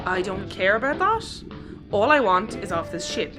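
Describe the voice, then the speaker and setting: A tough Irish woman captain , as an actress plays her gives dry orders and threats in a sci-fi audio comedy. Her intonation is very mobile over clearly audible music. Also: mid-range voice, very animated, moderate pace, lightly acted. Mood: stern.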